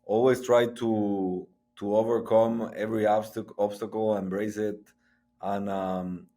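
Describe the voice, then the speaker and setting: Halting man. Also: fairly low pitch, flat delivery, mellow. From Guatemala. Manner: halting, frequent restarts and repetitions. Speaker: guest, sports entrepreneur. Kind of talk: conversational interview.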